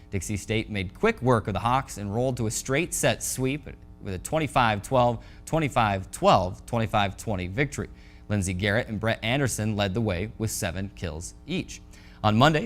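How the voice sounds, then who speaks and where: An American man hosting a sports show, reading a scripted recap of scores in a polished announcer delivery. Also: lowish voice. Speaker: sports show host and play-by-play voice.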